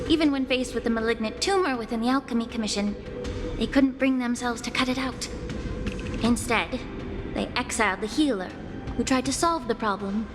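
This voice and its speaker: A woman's voice: fairly high pitch, natural voice. An actress playing a polite, earnest young female investigator in a video game cutscene, speaking formally and precisely. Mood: resigned, critical. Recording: long reverb, loud music behind.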